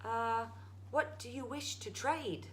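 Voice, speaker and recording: A woman voicing an earnest, naive, excitable farm boy in a storybook. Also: on the high side, slow, slight background noise, pitched above the performer's own voice. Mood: hesitant, doubtful. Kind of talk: storybook read-aloud.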